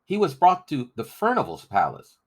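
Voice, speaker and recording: Guy doing an anxious medieval boy, earnest and urgent, pitched up, storybook style. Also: huge pitch swings, moderate pace. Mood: alarmed, urgent. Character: earnest, anxious peasant boy pleading for his friend.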